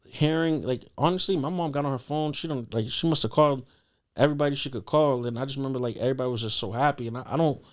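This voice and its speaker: A man's voice: medium pitch, fast. A young man telling a personal story as a podcast guest in a casual, slangy, earnest conversational way.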